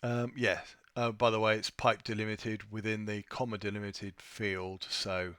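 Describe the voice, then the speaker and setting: A man presenting an unscripted software tutorial, thinking aloud with a hesitant delivery as he walks through his screen. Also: fairly low pitch, flat delivery, unhurried, clean tone, no room sound. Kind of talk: unscripted screen-share walkthrough.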